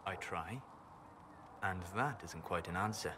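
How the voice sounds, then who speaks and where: An actor playing a calm, scholarly elven mage in a video game, with a measured, precise, philosophical manner. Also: male voice, deep voice, a little hiss or hum. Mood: reserved. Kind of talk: video game dialogue acting.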